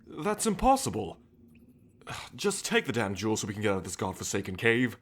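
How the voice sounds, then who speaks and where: An actor playing a greedy, impatient, sour treasure-hunting sailor of the 1790s in an audio roleplay, brusque and exasperated. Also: male voice, fairly low pitch, huge pitch swings, fairly quick. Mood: impatient, scornful.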